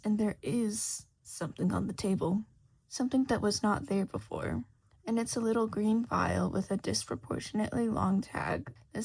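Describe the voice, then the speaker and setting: A woman's voice: mid-range voice, creaky, darkish tone, breathy edge, poor audio. A woman reading a fan fiction story aloud as scripted narration. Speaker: podfic reader.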